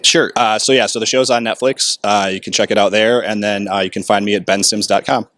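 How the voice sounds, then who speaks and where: A man answering red carpet questions in a relaxed way, with lots of fillers. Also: on the low side, a little fast.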